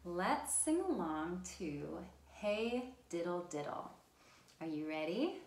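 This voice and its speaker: A woman leads a storytime for small children with very lively, swooping intonation. Her delivery is encouraging and sing-song as she talks straight to the kids. The sound is roomy and echoing. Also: on the low side, takes it slowly. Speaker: children's storytime host at a library. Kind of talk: interactive storytime for young children.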